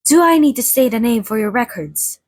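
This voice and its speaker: Woman playing a centuries-worn female vampire, soft and breathy, playfully grand, chatty, ASMR roleplay, close dry mic. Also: on the high side, expressive, normal speed, no echo. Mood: coy, friendly. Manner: playfully grand, mock-formal, dramatic, chatty. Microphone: close studio microphone, dry.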